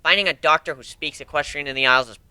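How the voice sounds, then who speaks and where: A man voicing a calm, blunt, gruff male dog warrior in a dramatic story reading. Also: fairly high pitch, quick pace, no room sound. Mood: matter-of-fact.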